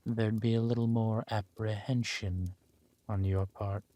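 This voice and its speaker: A man playing a ruthless, vengeful warlord in an audio roleplay, speaking deliberately and with menace. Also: lowish voice, flat delivery, warm tone, natural voice. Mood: intimate, brooding. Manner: slow, deliberate, menacing delivery. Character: ruthless villain warlord lord, vengeful, possessive lover. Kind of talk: dramatic villain roleplay acting.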